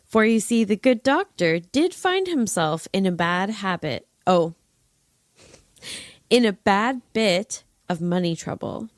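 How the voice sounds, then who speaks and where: A woman podcast host with a very lively, varied pitch and a close, dry sound. She reads scripted narration in a wry, slightly ornate way, then slips into casual, playful asides. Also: slow, dry recording. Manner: wry, playful narration with old-fashioned flourishes. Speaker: true-crime podcast host.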